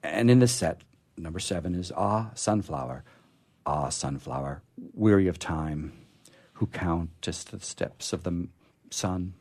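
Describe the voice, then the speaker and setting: A man reading poetry aloud in a measured way and commenting on it casually, as on a radio program. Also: low-pitched, warm tone.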